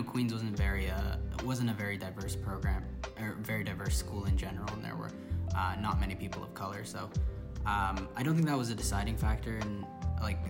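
A young man from Toronto with a Canadian accent, speaking casually as a student podcast guest. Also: on the low side. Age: young adult. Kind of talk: casual discussion. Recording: loud music under the voice. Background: music.

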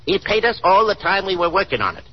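On a dull 1930s radio recording, a sickly, stubborn middle-aged father. His husky voice leaps up and down dramatically. He grumbles and blusters like a man backed into a corner. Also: high-pitched, big pitch swings, fast talker. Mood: grumbling, exasperated.

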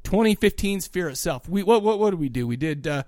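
High-voiced man bantering, dry close sound. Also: expressive, fairly quick, a little mellow, no room sound. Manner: teasing, profane, repeats himself. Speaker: podcast co-host. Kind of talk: casual comic banter.

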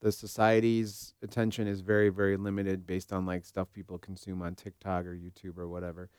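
Smooth, low-voiced man co-hosting a podcast. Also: completely flat delivery, fairly quick, darkish tone, no room sound, clean studio sound. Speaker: podcast host. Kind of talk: casual conversational discussion.

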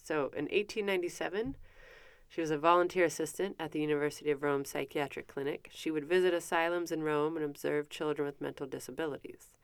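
A woman's voice: sits low, fairly even intonation, fast talker, clear, resonant tone, dry recording. A woman giving an informal history lesson, chatty and self-correcting, with frequent fillers and asides. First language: not French. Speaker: presenter explaining an educator's life. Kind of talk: casual informal lecture.

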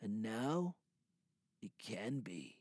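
A man playing a calm, creepy surfer-dude serial killer in an unhurried, intimate audio drama monologue. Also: fairly low pitch, dry recording, studio quality, voice pushed down, heavily acted. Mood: calm, menacing.

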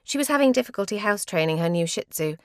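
A woman's voice: medium pitch, animated, fast, no echo, studio quality, lightly acted. A woman voicing a breezy, pushy sister who gushes encouragement in storybook dialogue. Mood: chatty, defensive. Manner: emphatic, gushing encouragement. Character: Louise, breezy, pushy sister preaching positive thinking.

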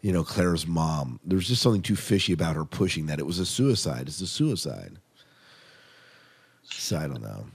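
A man's voice: extremely low pitch, sing-song, moderate pace, gravelly, husky. A man hosting a podcast in casual, conversational chat about shows, often putting questions to his co-host.

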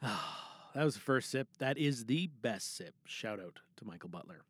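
A man's voice: on the low side, animated, normal speed, breathy edge, no echo, clean studio sound. A man hosting a podcast informally, with playful showmanship. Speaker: host and voice performer.

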